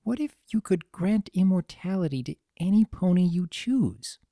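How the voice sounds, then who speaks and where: A reader voicing a gentle, anxious young female pony with a soft, hesitant, earnest manner in a story reading. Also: mid-range voice, animated, moderate pace, no room sound, clean studio sound, pitched above the performer's own voice, natural voice. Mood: earnest, pressing.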